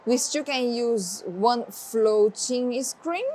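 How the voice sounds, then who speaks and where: A woman's voice: a little high, extremely slow, rough recording. Woman demoing a tablet, Portuguese-native. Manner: relaxed, explaining step by step, non-native phrasing. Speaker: tech reviewer. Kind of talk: casual product demo.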